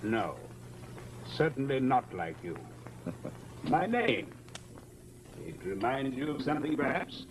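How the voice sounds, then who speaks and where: Grandiose, sinister, swooping mesmerist. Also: male voice, medium pitch, big pitch swings, takes it slowly, long pauses. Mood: cold, proud. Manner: pompous, stiffly formal. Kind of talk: old-time radio horror drama acting. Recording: noisy old radio recording.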